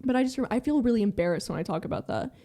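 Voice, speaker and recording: Young woman rambling candidly, mellow voice. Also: mid-range voice, expressive. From American with Iranian family. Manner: fast rambling talk, heavy use of "like" and swearing. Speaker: podcast guest. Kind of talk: candid casual conversation.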